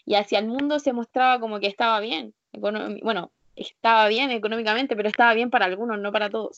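A woman's voice: on the high side, steady tone, quick pace, a bit bright, highs cut off, a little hiss or hum. A woman being interviewed as a union leader, speaking informally in a candid, earnest, colloquial way. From Spain, living in Chile.

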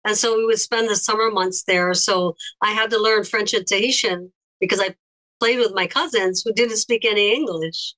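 A woman guest with a slight foreign accent, recalling memories in a gentle, unhurried way with pauses. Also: medium pitch, rough recording. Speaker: interview guest. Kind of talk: recollecting in conversation.

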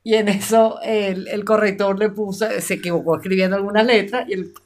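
A woman with a medium-pitched voice tells anecdotes in a casual chat. She is an animated storyteller who voices the people she quotes. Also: fairly quick, slight background noise.

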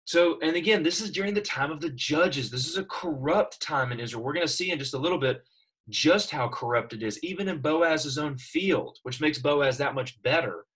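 A man's voice: fairly high pitch, moderate pace, breathy edge. A man teaching a classroom Bible lesson, asking his students questions as he explains. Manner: animated, asks students questions.

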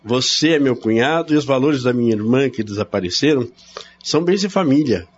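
An actor playing a stern, unyielding Brazilian brother-in-law in a radio drama, speaking in an emphatic, commanding way. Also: male voice, mid-range voice, lively intonation, a little fast, lo-fi sound, slight background noise, close to an everyday voice. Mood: commanding, resolute.

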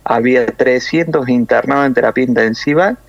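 A man from Córdoba with a Cordoban Argentine accent, a nurse explaining things in a measured way with many hesitations over a phone interview. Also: medium pitch, vocal fry, dry recording, dull audio. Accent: Cordoban Argentine Spanish.

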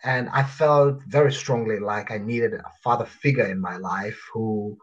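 A man in his thirties from Uganda, telling his life story as a guest in an interview, reflective and pausing with fillers. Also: on the low side, dull audio. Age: 30s. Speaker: guest author.

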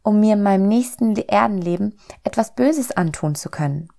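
A woman voicing an eager, curious, childlike little soul in a storybook, excited and full of questions. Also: medium pitch, brisk, gravelly, pitched above the performer's own voice. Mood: puzzled, worried. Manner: excited, questioning, wide-eyed.

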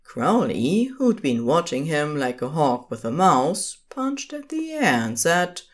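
A woman's voice: sits low, sing-song, takes it slowly. A woman reading scripted story narration as the narrator of an audio drama.

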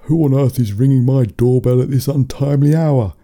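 A man's voice: medium pitch, brisk, warm tone, voice pushed up, natural voice. A man voicing a grumpy, weary father in a children's story, curt and exasperated. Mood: indignant. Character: grumpy, weary, stern father woken at night.

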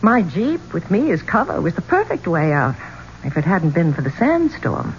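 A woman's voice: on the low side, very animated, normal speed. An actress playing a bold woman singer in a 1970s radio drama, speaking in a dramatic, emphatic way. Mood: accusing, defiant. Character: bold American woman singer cornered in an Egyptian tomb. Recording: muffled, noisy old radio recording.

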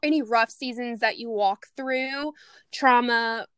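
A woman hosts a casual podcast interview, gushing and bubbly as she welcomes her guest. Her voice sits slightly high, smooth and clear, recorded dry and close with no room around it. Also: slow pace, dry recording. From Louisiana. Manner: bubbly, gushing, warm enthusiasm. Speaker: podcast host.

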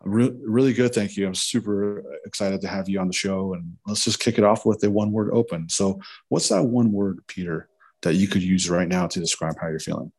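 Upbeat deep-voiced male host. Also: fairly quick, a little mellow, slightly rough. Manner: upbeat, encouraging, uses fillers like "you know". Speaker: interview show host. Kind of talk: conversational interview hosting.

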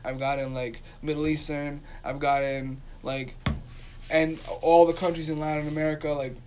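A man's voice: on the high side, little pitch movement, normal speed, a little mellow. A man talking casually and with opinion, speaking emphatically and repeating his points for stress. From Dominican community.

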